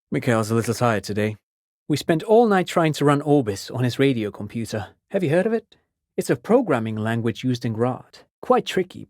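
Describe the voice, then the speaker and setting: A man's voice: mid-range voice, no room sound, studio quality, lightly acted. An actor playing an eager, polite intellectual father in a video game, erudite, genial and given to rambling over-explanation. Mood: chatty, friendly. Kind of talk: video game character dialogue.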